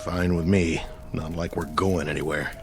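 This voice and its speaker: An actor playing a weary, evasive astronaut in a vintage TV drama, sullen and defensive, stammering when pressed. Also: male voice, deep voice, steady tone, normal speed. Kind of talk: mid-century television drama acting. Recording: music under dialogue.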